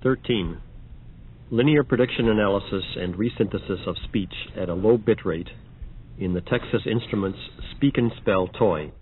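A man's voice: lowish voice, moderate pace. A man narrating an audio demonstration, reading scripted announcements. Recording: dull, noisy old record transfer.

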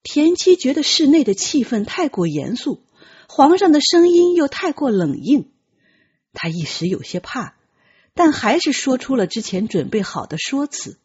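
A woman narrates an audiobook with dramatic, lively intonation. Her voice is quite husky, and the recording is slightly dull. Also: sits high, normal speed.

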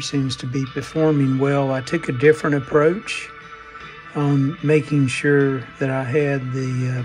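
Slow-paced man narrating hands-on repair work. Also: medium pitch, darkish tone, husky. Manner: halting, unscripted, pausing mid-phrase. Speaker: radio restoration hobbyist.

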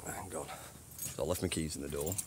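Deep-voiced man rambling on a phone vlog. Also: fairly even intonation, fairly quick, background noise. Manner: chatty, rambling, says "you know what I mean". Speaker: station cleaner and vlogger. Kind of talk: casual walking tour vlog. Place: old train station toilets and platforms at night. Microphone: handheld phone.

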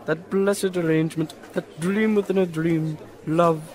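A man acts out a scatterbrained hotel owner in a radio drama. His voice sits somewhat high, and he speaks with effusive, old-fashioned formality. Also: fairly even intonation, a little slow, darkish tone, close to an everyday voice. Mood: delighted, sentimental. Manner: effusive, quaint, formal phrasing. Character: cheery, scatterbrained foreign male hotel owner.

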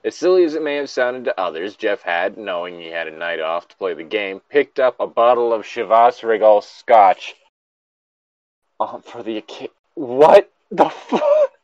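A man's voice: lowish voice, huge pitch swings, a little slow, a little mellow, no room sound, highs cut off. A man giving casual, sweary and sardonic commentary as he reads and reacts to a story. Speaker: host reading and reacting to a horror story.

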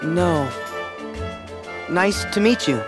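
A man's voice: high voice, drawn-out words. A young man voicing an eager, kind teenage boy hero who talks earnestly and asks questions. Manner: earnest, inquisitive. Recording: game audio with music behind.

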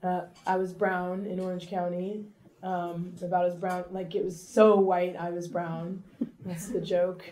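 A woman in her forties, a longtime high school teacher, speaks on a seated panel while her voice is worn down by a cold. Her tone is mellow and friendly, with a self-deprecating touch. A camera mic picks her up from across a lecture room. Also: level tone, unhurried, low-quality recording. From Southern California. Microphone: far camera.

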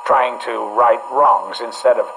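A man's voice: medium pitch, fairly even intonation, a little slow, gravelly. A man voicing a smug, theatrical, all-powerful figure in a video game, teasing and condescending as he talks. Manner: mocking, playful, condescending. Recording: noisy game radio message.

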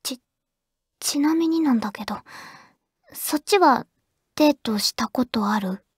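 Shy awkward anime high school girl on a call w her crush, asmr drama, super breathy whispery, slow n stammery. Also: expressive, no room sound, clean studio sound, full character voice. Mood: tender, coy. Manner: hesitant, stammering, bashful. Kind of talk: anime audio drama, intimate ASMR phone-call acting.

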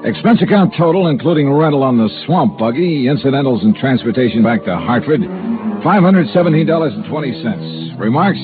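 A man's voice: mid-range voice, big pitch swings, fairly quick, close to an everyday voice. An actor playing a cool, wry male insurance investigator in a clipped 1950s radio drama style. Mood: brisk, wry. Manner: clipped, wry, hardboiled delivery. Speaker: series star, lead actor and narrator. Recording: muffled old-time radio recording with music.

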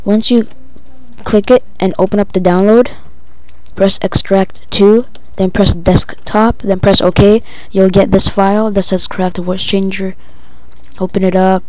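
Flat-toned tutorial voice, female-sounding, dull recording. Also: mid-range voice, no echo. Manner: halting, improvised step-by-step instructions. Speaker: tutorial presenter. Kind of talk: casual screen-recorded software tutorial.

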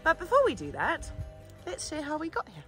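A woman's voice: sits high, wildly swooping pitch, fairly quick, over loud music. A woman, hosting a vlog in an enthusiastic, chatty way. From Zimbabwe. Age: 30s. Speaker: vlog host. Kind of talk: upbeat vlog narration and chat to camera.

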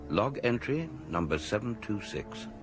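An earnest space crewman in a science-fiction radio play. His fairly low voice swings widely with dramatic, articulate delivery, and music is heard behind. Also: very animated, a little slow. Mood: grave. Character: earnest, excitable male space crewman keeping a log.

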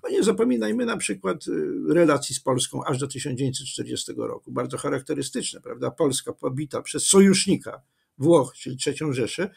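An older man, a history professor, giving long, measured answers with many fillers and self-corrections, speaking from a home study over a webcam video call. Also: medium pitch, creaky, a little mellow, breathy edge. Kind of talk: lecture-style interview answer.

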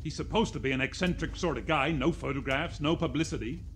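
An actor playing a harried American police inspector, brusque and exclamatory, in 1940s radio drama style. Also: male voice, fairly high pitch, a little fast, a little hiss or hum, close to an everyday voice. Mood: worried. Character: gruff, harried, excitable male police inspector.

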